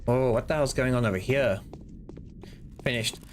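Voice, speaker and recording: A man's voice: medium pitch, moderate pace, slight background noise. Chatty male streamer rambling to chat. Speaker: game streamer. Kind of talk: casual live-stream chat while playing.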